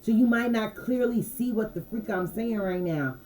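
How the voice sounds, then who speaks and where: A woman with a mellow, relaxed voice talks loosely to her viewers as an intuitive card reader. She repeats phrases and keeps checking that they follow her. Her delivery stays unhurried and conversational. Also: on the high side, a little hiss or hum. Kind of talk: casual channeled message livestream.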